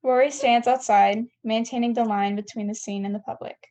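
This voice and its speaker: A woman's voice: fairly high pitch, steady tone, fairly quick, dark tone. A woman reading scene headings and stage directions as narrator of a table read, on a dull lo-fi recording.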